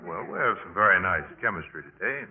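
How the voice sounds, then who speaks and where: An actor playing a wry, easygoing young college adviser in a bantering 1950s radio drama style. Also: male voice, low voice. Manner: amused, bantering. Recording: muffled narrow-band 1950s radio recording.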